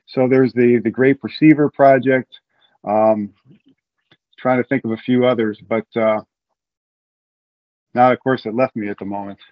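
Casting: middle-aged male interview guest, mid-pitched, informal and halting, thin phone-like call audio. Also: steady tone. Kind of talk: informal explanation. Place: room at home. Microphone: video call, earbuds. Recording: narrow, phone-like video call sound.

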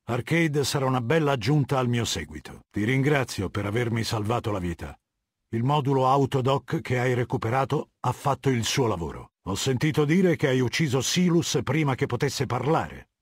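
Video game warlord, middle-aged. Husky, imperious, measured authority; dry studio sound. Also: male voice, mid-range voice, lively intonation, no room sound. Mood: measured, stern. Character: cold, commanding middle-aged male warlord of a legion. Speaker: voice actor.

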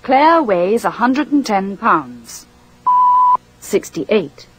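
Slow deliberate woman reading english drills, raspy breathy voice. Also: mid-range voice, sing-song, no echo, low-quality recording. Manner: slow, deliberate, carefully enunciated. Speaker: language course reader.

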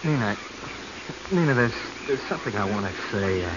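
An actor plays an earnest, jealous man in 1940s radio drama style. His lowish voice moves around a lot with urgency, on a muffled vintage recording. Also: animated, brisk, very noisy, natural voice. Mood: awkward, nervous. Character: lovesick, impatient, jealous male treasure hunter.

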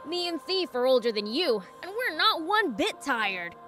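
A woman's voice: high voice, big pitch swings, moderate pace, sharp tone, faint music behind, full character voice. A woman playing a blunt, cocky little demon prince in a video game, with a scrappy childish voice and a brash manner. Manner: brash, curt. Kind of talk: video game cutscene dubbing.

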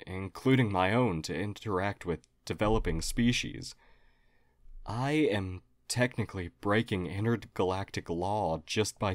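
A man's voice: on the low side, no echo, clean studio sound, lightly acted. A man playing a friendly alien historian, formal, earnest and hesitantly apologetic, in an audio roleplay. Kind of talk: audio roleplay acting, addressed to listener.

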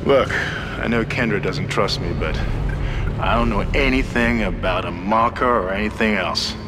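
An actor playing an embattled starship security officer in a video game, gruff, urgent and authoritative. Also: male voice, lowish voice, moderate pace, loud music behind the voice, natural voice. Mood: jumpy, grim. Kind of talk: video game dramatic acting.

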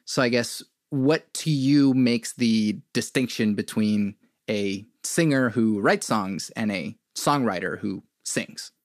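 A man's voice: on the low side, slow pace, no echo, studio quality. A male podcast host asking searching questions hesitantly, with many fillers. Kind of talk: podcast interview.

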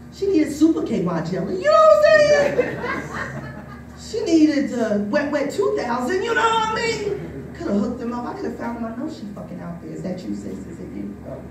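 Bawdy stand-up woman in her forties. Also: mid-range voice. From New Jersey. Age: 40s. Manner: bawdy, keeps asking "you know what I mean?". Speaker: stand-up comic. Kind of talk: stand-up comedy set. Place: brewery taproom with live audience. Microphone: filmed from the crowd by a far camera. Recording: echoey room, from far off, crowd noise.

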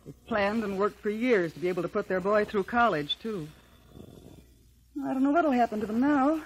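An actress playing a kindly, sympathetic woman speaking gently in a 1950s radio drama. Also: medium pitch, animated, moderate pace, close to an everyday voice. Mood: concerned. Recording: faintly noisy old radio recording.

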